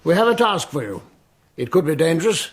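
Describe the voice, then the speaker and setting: A shrewd emperor of Byzantium, with a raspy, slightly high voice. He is formal, dry and politely commanding, as in a radio play. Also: very animated, brisk. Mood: courteous, commanding. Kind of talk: radio drama acting.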